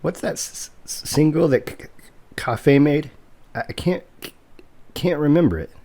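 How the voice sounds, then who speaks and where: A man's voice: on the high side, normal speed, warm tone, close to an everyday voice. A man playing a formal, coolly courteous property broker who stutters, in an audio drama. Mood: awkward, polite.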